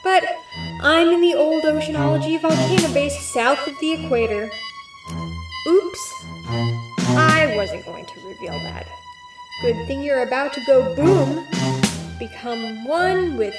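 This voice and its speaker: A woman's voice: sits high, a little slow, long pauses, echoey room, over loud music. An actress playing a theatrical, taunting female villain mastermind in an audio drama. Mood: gloating.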